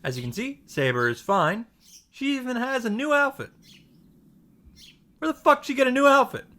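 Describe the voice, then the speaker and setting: A man's voice: very high-pitched, lively intonation, moderate pace, pushed and bright. A man giving a narrated recap with comic first-person commentary, informal, sweary and mock-exasperated in manner. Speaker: host of a visual novel reaction and summary show. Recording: faint music behind the voice. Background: faint background music.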